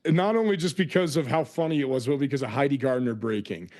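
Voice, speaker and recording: A man hosting a casual podcast, excitable and quick to laugh, often stumbling over his words. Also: mid-range voice. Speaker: podcast host. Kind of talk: casual sports podcast chat.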